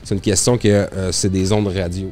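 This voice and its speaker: A man's voice: deep voice, level tone, moderate pace, darkish tone, faint music behind. Québécois man explaining radio gear. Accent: Québécois French. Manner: explains at length with many restarts and fillers. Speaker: radio communications expert guest. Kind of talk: casual explanatory podcast talk.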